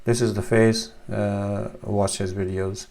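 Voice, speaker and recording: A man's voice: lowish voice, little pitch movement, slow, vocal fry, darkish tone, slight background noise. A man in his thirties from Pakistan, a native Urdu speaker, teaching Arabic letters over a screen share with many fillers and restarts. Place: small room at home, indoors.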